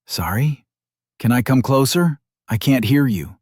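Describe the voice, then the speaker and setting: A man's voice: medium pitch, lively intonation, unhurried, a little mellow, breathy edge, dry recording, clean studio sound, voice pushed up. A man voicing an ordinary young man stranded in a magic world, wary and sarcastic, with a wry, self-muttering way of talking. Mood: earnest calling. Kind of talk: audiobook character voice.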